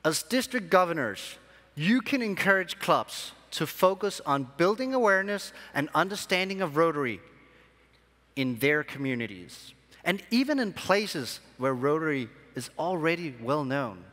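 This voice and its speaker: A young man, born in Sri Lanka and raised in Denmark, giving a keynote speech to a convention audience from a large hall stage with measured, emphatic phrasing. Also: a little high, very animated, sharp tone. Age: young adult. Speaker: conference speaker. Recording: long hall reverb.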